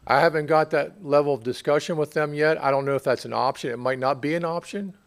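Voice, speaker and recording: American guy, kinda gravelly voice, town official answering council questions, halting, repeats himself a bit. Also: fairly high pitch. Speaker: borough water utility official. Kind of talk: answering questions at a public meeting. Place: public council meeting room.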